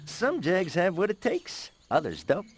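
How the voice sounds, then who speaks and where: A grandiose cartoonish jaguar for a video game, high for a male voice and wildly swooping in pitch. He takes his time over every boast, telling things like a grand, dramatic tale. Music plays faintly underneath. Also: wildly swooping pitch, a little slow, exaggerated voice. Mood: proud, boastful. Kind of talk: animated video game voice acting.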